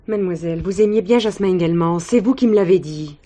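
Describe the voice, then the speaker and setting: A woman's voice: fairly low pitch, fast talker, dark tone, lightly acted. An actress playing a policeman's earnest wife, polite but pleadingly persuasive, in a theatrical drama. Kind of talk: French stage or TV play acting.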